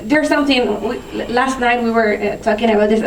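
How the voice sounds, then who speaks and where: A woman speaking on a conference panel, her voice a touch high and heard from some distance. The room is echoey. She pauses often and restarts her sentences. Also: slight background noise. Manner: hesitant, many fillers and restarts. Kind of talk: panel answer to audience question. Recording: roomy, distant from the mic.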